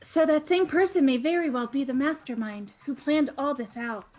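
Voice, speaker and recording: A woman's voice: sits high, moderate pace, darkish tone, faint music behind, natural voice. A woman voicing a computer program assistant in an amateur game dub, with dull lo-fi sound. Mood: tentative, thoughtful. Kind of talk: amateur voice acting in a visual novel playthrough.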